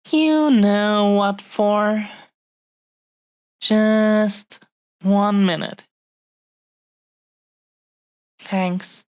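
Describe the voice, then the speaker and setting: A woman acts out an adoring dragon-blooded young woman in an intimate romance roleplay. Her speech is extremely slow and tender, lingering over short phrases at a middle pitch. She is possessive yet affectionate, and the sound is dry, with hardly any room on it. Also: clean tone, no room sound. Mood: tender, pleading. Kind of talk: intimate romantic audio roleplay addressed to the listener.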